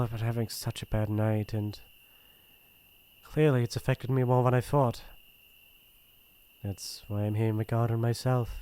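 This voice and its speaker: A man with a British accent playing a shy, earnest young crown prince in an ASMR roleplay, speaking in formal, hesitant, gentle phrases. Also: lowish voice, natural voice. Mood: embarrassed, troubled. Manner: formal courtly phrasing, hesitant and soft-hearted.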